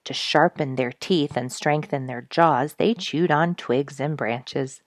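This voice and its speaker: A woman reading a story aloud as host, in an expressive scripted storybook style with emphatic, dramatic stresses. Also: low-pitched, takes it slowly, no echo, clean studio sound. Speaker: story reader and show host.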